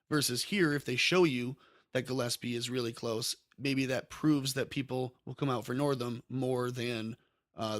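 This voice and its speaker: A man's voice: mid-range voice, a bit bright, no room sound, studio quality. A man hosting a political talk podcast, musing at length with many ums and self-corrections. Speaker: podcast host. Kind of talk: political commentary chat.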